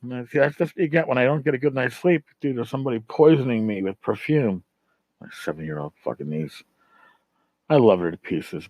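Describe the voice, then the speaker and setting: A man's voice: medium pitch, no room sound, muffled recording. A middle-aged man hosting a gaming podcast, rambling through a casual rant in a blunt, profane, exasperated manner. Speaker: tabletop gaming podcast host. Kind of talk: casual ranting monologue.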